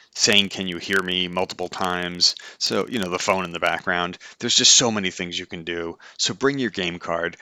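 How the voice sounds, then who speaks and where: Low-pitched man with a bright, dry sound. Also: dry recording. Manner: conversational, informal asides. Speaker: host. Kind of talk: light-hearted explainer, semi-scripted talk.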